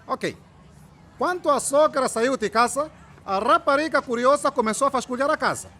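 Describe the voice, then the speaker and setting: A man's voice: very high voice, normal speed, pushed and bright, full character voice. A man playing an eager, idealistic trainee teacher in his twenties in a radio drama, with a Mozambican accent and an affectionate, playful manner. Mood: playful storytelling. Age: 20s.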